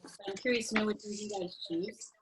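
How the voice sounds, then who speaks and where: A woman's voice: medium pitch, fairly even intonation, a little fast, noisy background. A woman teaching a casual online craft class over a video call, explaining steps in a chatty way. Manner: chatty, friendly explaining with fillers.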